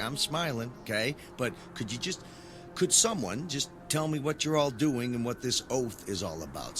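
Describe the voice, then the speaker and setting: A man's voice: mid-range voice, lightly acted. An actor playing a skeptical, wisecracking everyman brother, talking in a colloquial, questioning way in a dramatic audio play.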